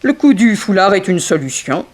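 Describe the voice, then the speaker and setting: An actress playing a frank, wry young dancer in an early 1960s French radio mystery, theatrical and gossipy. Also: female voice, mid-range voice, big pitch swings, normal speed. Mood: sardonic, insinuating.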